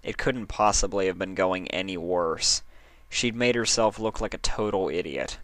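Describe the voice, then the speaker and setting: A man's voice: deep voice, moderate pace, fairly bright tone, no room sound. A man reading a story aloud as its narrator, in scripted storytelling style. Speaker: fan fiction reader and narrator.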